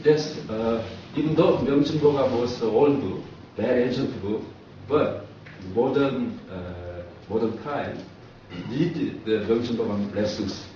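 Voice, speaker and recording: A man with a Korean accent explaining ideas as a professor in simple, halting second-language English, using short emphatic phrases. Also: mid-range voice, warm tone, slight background noise. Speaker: professor, guest speaker. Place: echoing talk room at a community centre. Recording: echoey hall from far off, muffled.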